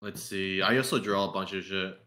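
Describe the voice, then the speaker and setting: Bright-voiced man chatting casually. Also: sits low, steady tone, brisk. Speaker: podcast panelist, fandub creator. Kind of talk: casual group chat.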